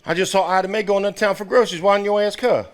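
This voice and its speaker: A man's voice: sits high, fairly even intonation, brisk, lightly acted. A man acting in a live stage play as a folksy, exasperated 1950s small-town fellow, teasing and grumbling. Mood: amused exasperation. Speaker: stage actor.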